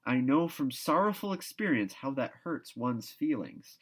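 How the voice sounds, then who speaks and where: A man's voice: medium pitch, animated, unhurried, a bit bright, slightly rough, pitched above the performer's own voice. Man voicing an exuberant, chattering young girl. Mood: decided, earnest. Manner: effusive, grandiloquent. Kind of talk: children's novel read aloud.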